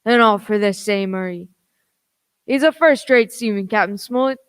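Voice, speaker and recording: Smooth-talking wily old pirate played by an actor. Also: mid-range voice, normal speed, a bit bright, dry recording, studio quality, close to an everyday voice. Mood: scheming, forceful. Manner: folksy pirate dialect, cajoling. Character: wily old one-legged pirate sea cook. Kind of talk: radio play acting.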